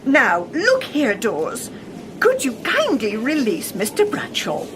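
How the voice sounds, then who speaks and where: A woman's voice: fairly high pitch, huge pitch swings, slow pace, exaggerated voice. A woman playing a prim, anxious shop assistant who reasons politely, in a comedic audio drama. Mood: polite pleading. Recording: clearly noisy background.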